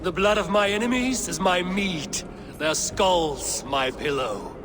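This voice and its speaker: An actor playing a boastful warrior god in a video game, speaking formally and declaiming like a courteous guest. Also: male voice, high-pitched, expressive, slow, very noisy. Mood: bold. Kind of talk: video game character acting.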